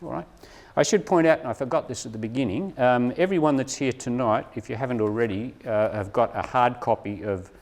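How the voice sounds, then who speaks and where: A man's voice: mid-range voice, brisk, darkish tone. A man presenting a live workshop to teachers, explaining things and often checking in with "right?".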